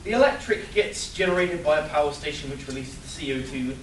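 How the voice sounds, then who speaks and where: A man's voice: fairly high pitch, lively intonation, fairly quick, lightly acted. A man playing an exasperated, sarcastic young superhero sidekick in a live comic radio play, whiny and incredulous. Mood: incredulous, argumentative. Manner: whiny, incredulous, swooping into outbursts. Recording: roomy, distant live stage capture, faint noise.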